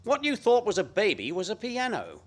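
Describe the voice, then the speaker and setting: Guy doing a bumbling flustered doc in a radio farce, hesitant, pitch wobbling up and down a lot. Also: huge pitch swings, fairly quick, fairly bright tone, full character voice. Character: flustered, bungling male doctor friend of the husband. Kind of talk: radio play farce acting.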